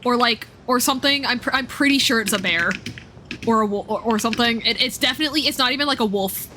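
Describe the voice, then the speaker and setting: A woman's voice: on the high side, fast, noisy background. A woman chatting casually and playfully on a live game stream, with informal, slangy speech. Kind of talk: casual live stream chat over gameplay.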